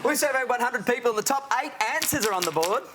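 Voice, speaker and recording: A man with an Australian accent hosting a TV game show, bubbly and jokey, quick to laugh. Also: very high voice, expressive, noisy background. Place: TV studio with live audience. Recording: studio with music and cheering audience.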